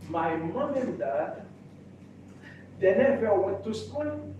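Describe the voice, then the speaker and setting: Older man, high-pitched, slow and halting, recorded far off in a very echoey, noisy room. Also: big pitch swings, dull audio. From Haiti. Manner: halting, repeats and restarts phrases. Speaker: real estate association president. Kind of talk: personal introduction speech. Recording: echoey room, mic far off, background noise.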